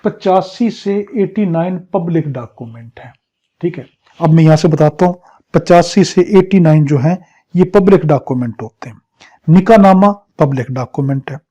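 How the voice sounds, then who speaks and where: A male law teacher with a Pakistani accent lectures in a relaxed, slightly high voice. He moves point by point, giving simple examples and answering his own questions. The recording is dull and lo-fi. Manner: explains with everyday examples, posing questions then answering them. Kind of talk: exam crash-course lecture, explaining article by article.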